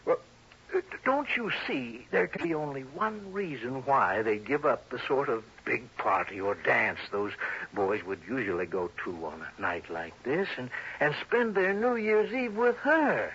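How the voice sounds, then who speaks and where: An actor playing a fretful, suspicious old doctor with a raspy voice in mid-century radio drama style. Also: male voice, mid-range voice, lively intonation, a little slow, a bit bright, heavily acted. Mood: worried, suspicious. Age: older. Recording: dull old radio recording.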